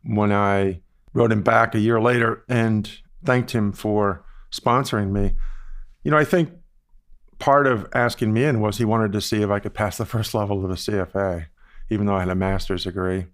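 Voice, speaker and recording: A man in his sixties from the Bahamas, telling stories about his career in a measured, reflective way, as an interview guest. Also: on the low side, fairly even intonation. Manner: measured, reflective, with frequent "you know" asides. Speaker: guest, investment firm founder. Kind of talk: relaxed storytelling interview answers.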